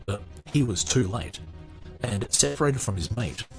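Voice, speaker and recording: A man's voice: fairly low pitch, lively intonation, moderate pace, vocal fry, faint music behind. A man hosting a podcast, reading a book aloud and giving casual commentary on it. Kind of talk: audiobook narration and casual commentary.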